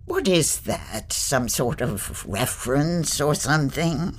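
Woman acting as a calm mystical guide lady, really low voice, slow n formal, fantasy audio drama. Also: a little hiss or hum. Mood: solemn, helpful.

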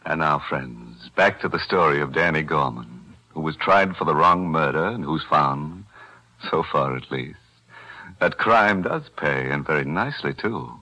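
Deep-voiced male narrator for a 1940s mystery radio show, measured and dramatic. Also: pushed and bright, rough recording. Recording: vintage 1940s radio broadcast.

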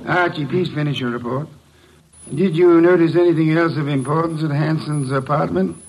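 Pompous old-radio detective boss, kinda gravelly, super formal and exact, bossy. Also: male voice, fairly high pitch, normal speed, a little mellow, poor audio. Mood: commanding. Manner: formal, precise, pompous diction. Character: pompous, exacting middle-aged detective boss, fussy and commanding. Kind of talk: old-time radio detective drama acting.

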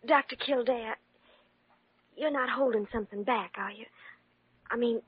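A devoted, worried young daughter. Her voice is fairly high and breathy, hesitant, on a dull vintage radio drama recording. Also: measured pace, no room sound. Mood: anxious. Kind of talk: 1940s radio drama acting.

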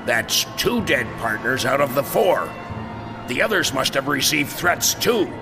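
An actor playing a calm, authoritative police investigator in an audio drama, measured and businesslike. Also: male voice, medium pitch. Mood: firm. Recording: long hall reverb, faint music.

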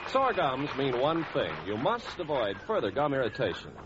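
A man's voice: medium pitch, big pitch swings, moderate pace, noisy background. A man announcing on 1940s radio, reading scripted sponsor copy and credits in a polished, emphatic sales style. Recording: dull old radio broadcast recording.

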